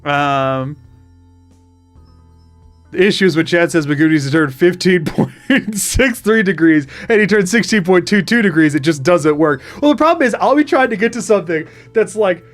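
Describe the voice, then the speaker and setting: Man, southern accent, fairly high voice, rambling casual talk, faint music behind. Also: normal speed. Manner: rambling, self-interrupting, animated retelling. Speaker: streamer. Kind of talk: casual chat while gaming.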